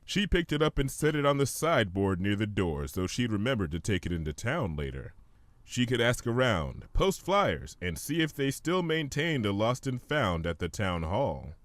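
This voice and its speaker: A man reading a story aloud as scripted, expressive narration. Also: lowish voice, moderate pace, no room sound. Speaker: fan fiction reader.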